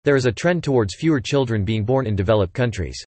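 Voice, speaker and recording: Fast-reading man, crisp and dry. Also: dry recording. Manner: even, clipped reading at high speed. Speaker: example sentence reader. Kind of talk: scripted sentence reading for English listening practice.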